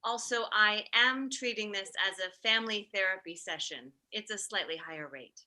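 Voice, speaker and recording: A brisk, blunt therapist in her fifties played by a woman reading an animated comedy script, with a very bright, forceful voice. She is probing and matter-of-fact. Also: mid-range voice. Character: brisk blunt fifty-year-old female family therapist. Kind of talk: live table read of an animated comedy script.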